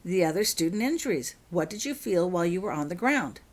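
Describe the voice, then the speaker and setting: A woman voicing a brisk, kindly, matter-of-fact healer at a school in a storybook reading. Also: fairly low pitch, sing-song, darkish tone. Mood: brisk, caring.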